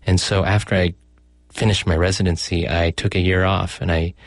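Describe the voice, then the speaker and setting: Low-voiced middle-aged man, physician guest, measured explainer, dry booth sound. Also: flat delivery, no echo. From United States. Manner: thoughtful, explanatory, with fillers and self-corrections. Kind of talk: conversational interview.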